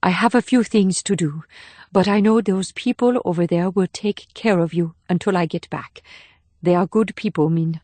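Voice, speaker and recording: A man doing a soft-voiced young woman agent. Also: high voice, normal speed, no echo, voice pushed up. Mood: tender. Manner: soft, hushed, gentle. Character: Chung Cha, young woman agent, tender and guarded.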